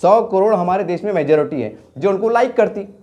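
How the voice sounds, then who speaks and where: A man's voice: sits high, expressive, fairly quick, warm tone. A man with a Deccani Urdu-Hindi accent from Maharashtra gives impassioned, off-the-cuff political commentary, leaning on rhetorical questions and emphatic repetition. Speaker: local news journalist and commentator.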